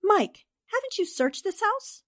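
A woman playing a sharp, commanding newspaper columnist who questions briskly in a 1940s-style radio drama. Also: very high-pitched, very animated, exaggerated voice. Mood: insistent.

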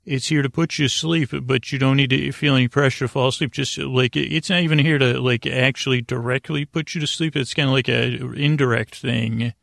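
Male sleep-podcast host: flat intonation, gravelly fry, halting, meandering, low-key delivery. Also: dry recording, studio quality. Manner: meandering, halting, frequently digressing and losing his thread; low-key, soothing, deliberately unengaging. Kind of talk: rambling sleep-podcast monologue.

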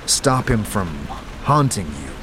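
A man voicing a scarred male hero who talks calmly and dryly, probing with quiet questions. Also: on the low side, slow pace, noisy background. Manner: calm, dry, probing.

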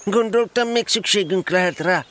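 Good-natured silly bear, high male voice, bright tone, modest, artless, children's audio play. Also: slight background noise. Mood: innocent. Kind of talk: children's audio drama acting.

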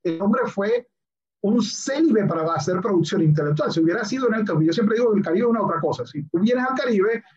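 A man from Venezuela whose first language is English, a guest telling jokey stories in a relaxed podcast chat. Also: high voice, fast talker. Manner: playful, joking storyteller. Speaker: guest, lawyer and philosopher. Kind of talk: casual podcast conversation. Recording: dull lo-fi sound.

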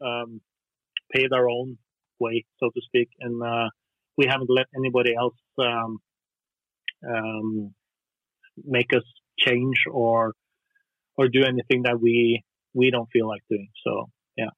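A Norwegian musician talking casually in an interview, hesitant, with lots of fillers and restarts. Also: male voice, mid-range voice, little pitch movement, measured pace, long pauses, no room sound. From Norway. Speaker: musician guest. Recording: dry tinny phone line.

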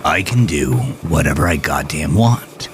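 A man's voice: rumbling low, expressive, a little slow, slight background noise, voice pushed down. A man voicing a brash, cynical imaginary friend in an audio drama, swaggering and slangy as he goads. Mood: cocky.

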